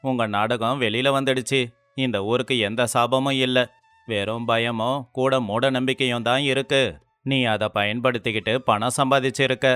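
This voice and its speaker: A man's voice: mid-range voice, fairly even intonation, moderate pace, fairly bright tone, smooth, no room sound, close to an everyday voice. A man acting an indignant, accusing villager who exposes a fraud in a moral-story drama.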